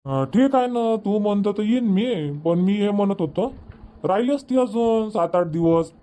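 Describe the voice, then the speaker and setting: A man's voice: high voice, expressive, unhurried, warm tone. A man playing an easygoing older farmer father in a comedy cartoon, agreeable and homely, with a Vidarbha Marathi accent. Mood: obliging. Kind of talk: homemade comedy cartoon dubbing.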